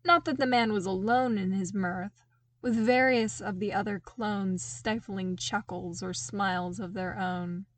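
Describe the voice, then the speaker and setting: A woman's voice: medium pitch, steady tone, measured pace. A woman reading a story aloud as a scripted audiobook-style narration. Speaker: podfic narrator.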